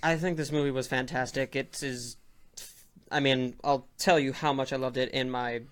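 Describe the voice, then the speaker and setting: A man's voice: mid-range voice, fairly even intonation, normal speed, smooth. A man co-hosting a casual film discussion, enthusiastic and emphatic about what he likes. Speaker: podcast co-host.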